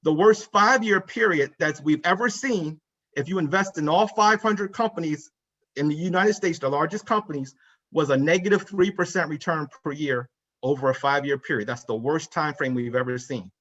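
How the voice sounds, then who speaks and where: A man's voice: on the high side, normal speed, fairly bright tone, lo-fi sound. A man in his forties, a financial advisor giving an emphatic conference talk, repeating key points and speaking straight to the audience. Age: 40s. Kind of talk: conference presentation. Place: conference room.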